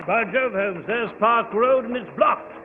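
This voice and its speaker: A genial doctor telling an old adventure in a vintage radio drama. His voice sits fairly high. The sound is thin, with a long echo and a clear hiss. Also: measured pace. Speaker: narrator. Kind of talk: 1940s radio acting. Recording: tinny, hissy, echoey old radio broadcast.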